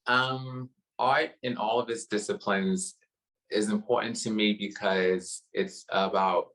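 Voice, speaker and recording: A man from New City, a guest curator talking casually on a video call, relaxed with frequent pauses. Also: sits low, low-quality recording. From New York City. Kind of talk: casual interview conversation. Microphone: video call webcam.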